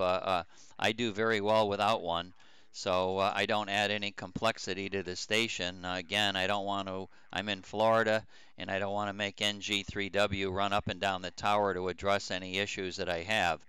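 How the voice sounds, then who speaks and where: An older man with a low, very clear voice talks as a ham radio operator. His delivery is halting and explanatory, circling back over his words. The sound is crisp and close, with hardly any room. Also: pushed and bright, no echo. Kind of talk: unscripted ham radio chat.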